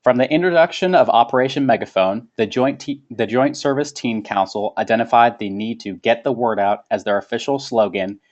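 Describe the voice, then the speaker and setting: A man's voice: medium pitch, brisk, few pauses. A man reading a formal scripted webinar presentation as its presenter. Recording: dull lo-fi webinar audio.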